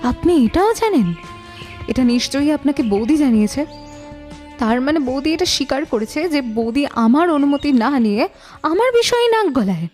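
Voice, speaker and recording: A woman playing an irritated, sharp-tongued married woman in an audio detective drama, curt, scornful and suspicious. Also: high voice, sing-song, normal speed, soft background music. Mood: guarded, irritated.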